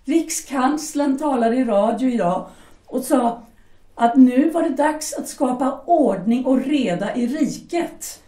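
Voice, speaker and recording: Woman doing a coaxing young wife, higher voice, mellow tone, echoey room a bit off-mic. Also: animated, distant mic. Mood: persuasive. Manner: coaxing, insistent, brushes off doubts. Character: young German mother, pragmatic, persuasive wife. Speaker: shipyard worker's wife. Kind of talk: amateur audio drama acting.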